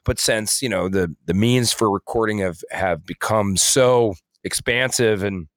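A man co-hosting a podcast in casual conversation, speaking in a halting, rambling way with frequent self-corrections. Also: on the low side, no room sound. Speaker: podcast co-host.

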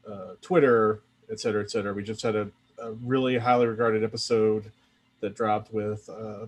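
A man talking casually on a podcast, rambling a little with dry humor. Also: lowish voice. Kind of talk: casual podcast plug with joking asides.

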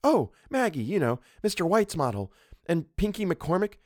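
A man's voice: high voice, very animated, moderate pace, darkish tone, no echo, studio quality, pitched above the performer's own voice. A man voicing a merry young woman, an artist's model in a story, chattering in a breezy, gossipy way. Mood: merry, chatty.

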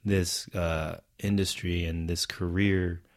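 A man's voice: low voice, level tone, slow. A man who works as a stunt performer, whose first language is Vietnamese, talking about a film in a casual chat. He speaks animatedly, laughs often and acts out quotes.

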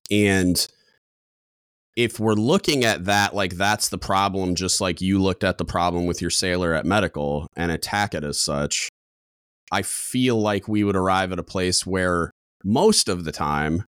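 A man co-hosting a podcast in casual discussion, with a deep booming voice, talking loud and coming on strong, with many restarts and fillers. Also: measured pace, smooth, no echo, clean studio sound. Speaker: podcast co-host.

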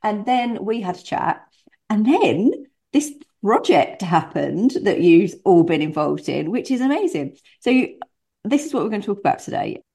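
A woman's voice: medium pitch, sing-song, moderate pace, warm tone, husky. A woman hosting a podcast interview, talking in an enthusiastic, chatty, rambling way. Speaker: podcast host.

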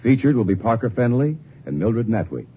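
A male network announcer reading scripted promotions in a lively, persuasive way. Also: medium pitch, a little fast. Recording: tinny old radio broadcast with hiss.

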